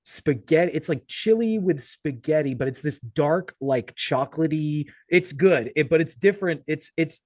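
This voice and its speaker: A man's voice: on the high side, expressive, moderate pace, hardly any pauses, darkish tone, no room sound, clean studio sound. A man hosting an interview in a casual, conversational style. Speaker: interview host.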